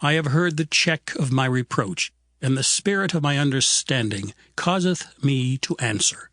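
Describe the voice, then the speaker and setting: A man's voice: mid-range voice, animated, normal speed, a bit bright, dry recording, clean studio sound, close to an everyday voice. An actor playing a stern, indignant man who condemns the wicked in a declamatory, admonishing scripture drama. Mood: indignant warning.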